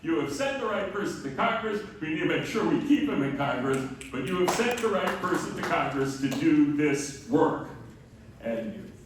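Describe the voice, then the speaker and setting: A man delivers a public speech in a heavily reverberant room, picked up from a distance with faint noise behind him. His voice is loud and projecting, and his manner is emphatic and rhetorical, like an advocate at a town hall. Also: on the high side, lively intonation, moderate pace. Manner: emphatic, rhetorical questions answered himself. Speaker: guest speaker from an advocacy group. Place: large echoey room at a public meeting.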